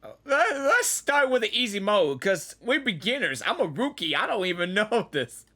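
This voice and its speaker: A woman gamer giving casual, excitable reaction commentary, often saying "like" and "dude". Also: mid-range voice, normal speed. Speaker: gamer reacting to a game on camera.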